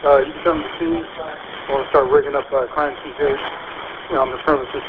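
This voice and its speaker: A man's voice: mid-range voice, steady tone, brisk. A male police officer on a police radio, giving clipped, commanding, terse traffic from the scene. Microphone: handheld or shoulder police radio. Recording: tinny, very noisy police scanner radio.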